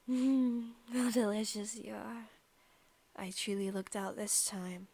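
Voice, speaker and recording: A woman playing an obsessive, sadistic centuries-old female vampire in an ASMR roleplay, speaking softly and breathily with menacing, teasing turns into whispers. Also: fairly high pitch, slightly rough, exaggerated voice. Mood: sadistic, savoring. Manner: soft, menacing, teasing, often dropping into whispers.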